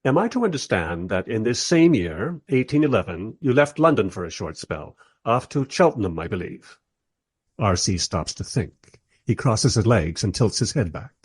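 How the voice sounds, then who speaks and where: An actor playing a wry, irreverent writer in a radio play, speaking in a dry, measured, slightly husky way as narrator and polite interviewer. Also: male voice, lowish voice, big pitch swings. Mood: politely probing.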